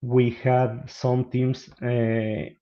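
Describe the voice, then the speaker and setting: A man's voice: medium pitch, little pitch movement, very slow, mellow. A middle-aged man from Argentina with an Argentine Spanish accent, presenting as a guest speaker in a webinar. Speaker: guest speaker, business analyst. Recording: dull lo-fi online call audio.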